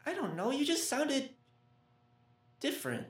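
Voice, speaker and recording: A woman acts as a twelve-year-old boy in an audio drama, timid and eager at once. The delivery stammers and hesitates, with very lively intonation. The room around the voice echoes noticeably. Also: high voice, unhurried. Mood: uncertain, nervous. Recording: roomy, echoing sound.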